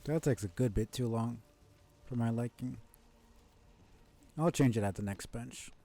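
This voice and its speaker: A man doing casual, low-key live commentary as he plays a video game, muttering to himself. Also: unhurried, dark tone.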